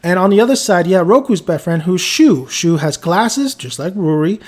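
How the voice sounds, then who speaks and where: A man's voice: sits high, lively intonation, moderate pace, darkish tone. A man with a Mexican accent giving a casual, rambling video review, often restarting his sentences and chuckling as he talks. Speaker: anime reviewer.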